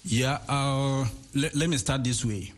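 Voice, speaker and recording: A man's voice: medium pitch, a little slow. A man in his late thirties, a guest in an interview, answering in plain, simple phrases and often repeating himself, a little away from the mic. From Ghana. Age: 30s. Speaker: guest prank video creator. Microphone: a bit far from the mic.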